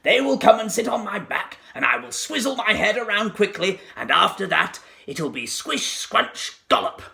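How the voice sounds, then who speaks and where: A man voicing a sly, ravenous crocodile villain in a storybook, savouring his words slowly and gloatingly. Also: high voice, sing-song, creaky, bright, forceful tone, pitched above the performer's own voice, heavily acted. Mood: hungry, greedy.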